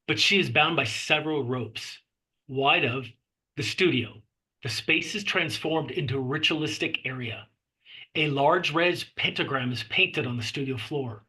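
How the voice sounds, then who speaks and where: Bright, husky man reading stage directions. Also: a little high, low-quality recording. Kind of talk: scripted narration at a horror table read.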